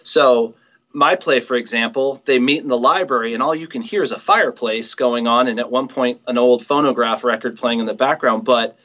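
A man's voice: medium pitch, moderate pace, a bit bright. A slightly dull, lo-fi recording of a middle-aged man with a mid-range voice. He talks casually as an interview guest, thinking things through and qualifying his points as he goes. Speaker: guest, audio play writer and director.

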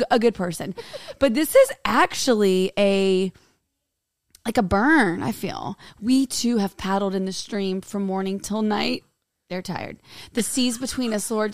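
A hoarse woman with a raspy voice, co-hosting a podcast in casual, joking chat. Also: mid-range voice, no room sound, studio quality. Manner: joking, drawling, breaks into laughter. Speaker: podcast co-host. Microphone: studio microphone.

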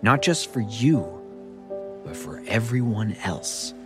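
A man's voice: on the low side, big pitch swings, slow, music in the background, voice pushed up. A man voicing a stern, protective father in a story, speaking in a firm, intimidating way. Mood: menacing. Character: stern, threatening father, protective brother-in-law.

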